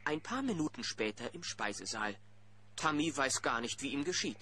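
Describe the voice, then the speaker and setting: A man's voice: mid-range voice, animated, moderate pace, heavy background noise, close to an everyday voice. A man playing the wry narrator of a children's audio drama, commenting on the characters as he tells it. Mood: wry storytelling. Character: storyteller who comments wryly on the characters.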